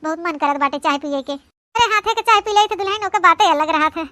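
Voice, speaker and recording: Cartoon old village mother-in-law, Awadhi accent, very squeaky and bright, effusive, rambling, fond. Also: brisk, no room sound. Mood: fond, proud. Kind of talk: cartoon comedy monologue in rural dialect.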